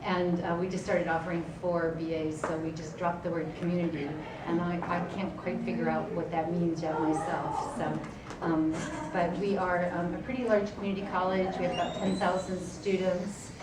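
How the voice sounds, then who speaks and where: A woman directing a college writing center, introducing her campus at a conference panel in a relaxed way with many pauses and ums. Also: lowish voice. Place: conference room. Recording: echoey room, distant mic, background noise.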